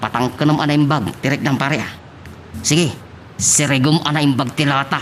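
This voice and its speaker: A slightly high male voice of an actor as a cocky buddy, chummy and coaching, in an Ilocano audio drama. The recording has some noise behind it. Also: fairly even intonation, brisk, lightly acted. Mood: instructing. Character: cocky, laughing buddy teaching a friend to shoot.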